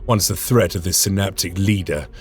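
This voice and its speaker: A man playing a grave, weary space marine commander in a game, solemn, measured and formal. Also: low voice. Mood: wary, calculating. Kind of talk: dramatic scripted game acting.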